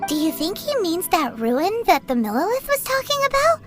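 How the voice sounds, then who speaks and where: A squeaky little fairy sidekick from a game, crazy high and bouncy, cheeky, loud music under it. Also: female voice, wildly swooping pitch, normal speed, exaggerated voice. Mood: doubtful, fretful. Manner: squeaky, fretful, refers to herself by name. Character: tiny cheeky floating fairy girl companion. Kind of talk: video game voice acting.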